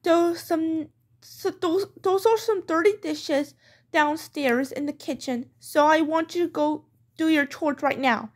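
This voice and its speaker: A woman's voice: high-pitched, unhurried. A woman playing a strict mother who lays down rules in a firm, scolding way, in a homemade pretend-play skit.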